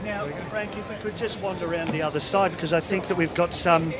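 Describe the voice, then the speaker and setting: A man's voice: high-pitched, fairly even intonation, brisk, very noisy. A man casually interviewing about products on location at a trade show. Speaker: interviewer from an audio shop.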